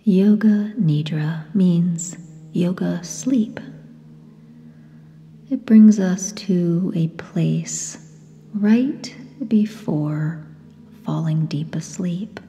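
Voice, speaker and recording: A woman with a soft, breathy, whispery voice leads a guided meditation in short, gentle phrases with long pauses. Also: on the low side. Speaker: yoga nidra guide. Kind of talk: guided meditation, slow and soothing. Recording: long reverb, soft music behind. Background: sound bath music.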